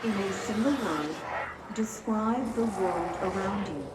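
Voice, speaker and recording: A woman's voice: mid-range voice, lightly acted. Actress as a clinical female psychiatrist, echoey room. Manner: measured, probing, detached. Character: calm, clinical female psychiatrist questioning a patient. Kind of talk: video game cutscene acting. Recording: roomy, distant, noisy.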